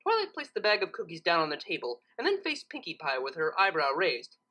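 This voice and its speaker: Bright, very animated woman storyteller. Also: lowish voice, a little fast. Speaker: fan fiction reader. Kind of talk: animated scripted story narration.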